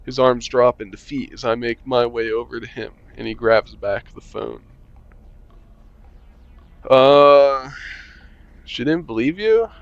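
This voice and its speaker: A man's voice: medium pitch, slow, gravelly, pauses often, no echo, lo-fi sound. A young man reading a visual novel aloud, playing the player character with casual, joking asides. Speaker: gaming channel host.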